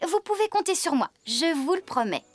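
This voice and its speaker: A woman voicing a kind, responsible farmer in a French-dubbed preschool cartoon, cheerful and expressive. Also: very high-pitched, a little fast. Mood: conciliatory. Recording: loud music underneath.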